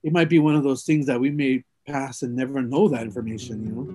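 A man's voice: mid-range voice, fairly even intonation, quick pace, over music. A middle-aged man from Hawaii, telling his life story in an oral history interview, rambling and reflective with many fillers and restarts.

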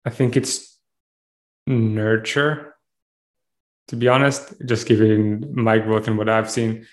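A man's voice: lowish voice, level tone, takes it slowly. A man in his thirties from Israel with an Israeli accent, a startup CEO telling his story candidly in an interview. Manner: rambling, candid, self-aware storytelling.

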